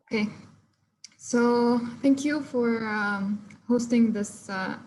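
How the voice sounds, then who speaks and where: A woman's voice: fairly high pitch, slow pace, a little mellow, a little hiss or hum, rough recording. A young woman from Egypt, a furniture designer, giving an online presentation of her work with many fillers and restarts. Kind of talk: online presentation with shared screen. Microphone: video call.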